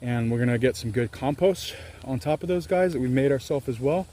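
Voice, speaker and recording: Candid farmer talking outdoors. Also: male voice, medium pitch, fairly quick, warm tone. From Louisiana, US. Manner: candid, rambling, self-deprecating. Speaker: organic farmer. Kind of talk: casual farm vlog. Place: outdoors on a farm field. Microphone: handheld camera at arm's length.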